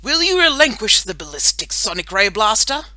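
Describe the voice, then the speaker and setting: A woman performs a young boy as a pompous storybook superhero. He is high and loud, proclaiming every line with grand, urgent drama. The sound is bright and forceful. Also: very animated, moderate pace, no room sound. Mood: bold, commanding. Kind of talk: children's audiobook character voice.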